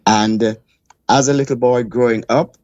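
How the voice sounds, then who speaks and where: An older man from Jamaica, a guest in an interview, recounting his childhood in a measured, reflective way. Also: medium pitch, fairly even intonation, a little mellow, dry recording, dull audio. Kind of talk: interview storytelling.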